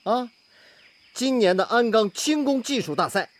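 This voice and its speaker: Earnest young technician, very expressive. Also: male voice, extremely high pitch, moderate pace, a little hiss or hum. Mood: bashful, sincere. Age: young adult. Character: earnest, devoted young male steel-mill technician. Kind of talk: Chinese radio drama acting.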